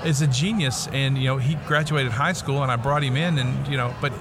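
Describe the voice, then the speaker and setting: A man's voice: mid-range voice, steady tone, fairly quick, noisy background. A middle-aged man hosting a casual interview in a folksy, rambling way. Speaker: podcast host, educator.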